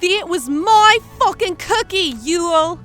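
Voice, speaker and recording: A woman's voice: extremely high pitch, takes it slowly, fairly bright tone, faint music behind, exaggerated voice. A woman playing a grumpy, foul-mouthed female creature with a blunt, sardonic way of talking in an audiobook drama. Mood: outraged. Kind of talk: audiobook drama character acting. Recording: clear background noise.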